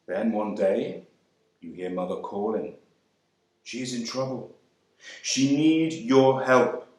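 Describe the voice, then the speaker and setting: An actor playing a proud, wry young man serving in the air force, speaking with measured delivery and deliberate pauses. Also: medium pitch, a little mellow, close to an everyday voice. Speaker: actor reading a monologue. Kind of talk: dramatic monologue reading. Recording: very echoey room.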